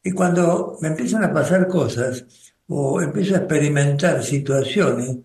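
An older man with an Argentine Spanish accent speaks slowly and thoughtfully, with pauses and restarts, as he recalls his past in a radio conversation. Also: a little high, a little mellow, echoey room. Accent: Rioplatense Spanish. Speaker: spiritual group coordinator and radio guest.

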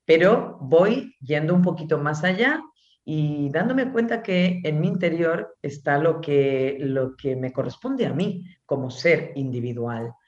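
A woman with a Spanish accent, an author answering interview questions slowly and thoughtfully, pausing often. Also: deep voice, expressive, darkish tone, roomy sound, muffled recording. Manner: slow, thoughtful, with many pauses and fillers. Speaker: author and guest.

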